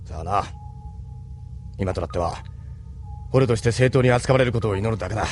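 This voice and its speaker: An actor playing a stern, weary, responsible male ship captain in an anime audio drama, gruff and authoritative. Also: lowish voice, a little fast, over music, close to an everyday voice. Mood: grave, pensive.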